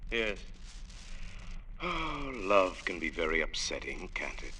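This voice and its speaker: An actor playing a suave, mocking, treacherous gentleman in a vintage radio drama. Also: low voice, sing-song, slow, heavily acted. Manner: mocking, urbane, theatrical. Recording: noisy old radio recording.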